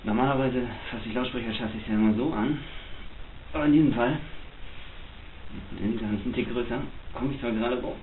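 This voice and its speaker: A man's voice: medium pitch, a little fast. A man giving spontaneous, technical and explanatory unboxing commentary as a hobby reviewer. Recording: muffled phone-like sound, clear hiss.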